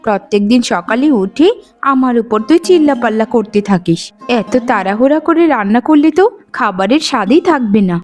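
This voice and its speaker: A woman voicing a patient, dutiful elder daughter-in-law in a cartoon, a gentle peacemaker with a soothing, reasoning manner. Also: on the high side, expressive, fairly quick, faint music behind, natural voice. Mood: reproachful. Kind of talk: Bengali cartoon voice acting.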